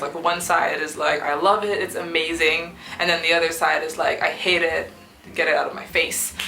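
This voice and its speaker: Young woman vlogger, sore-throated, rambling casual chat, slightly low pitch, roomy home recording. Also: bright, forceful tone, a little hiss or hum. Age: young adult. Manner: chatty, rambling with many fillers and restarts. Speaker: book reviewer vlogger. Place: small student apartment room.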